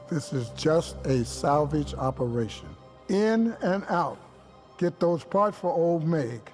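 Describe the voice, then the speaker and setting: Stern, higher-voiced wary ship captain. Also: male voice. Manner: stern, cautioning. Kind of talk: dramatic video game dialogue.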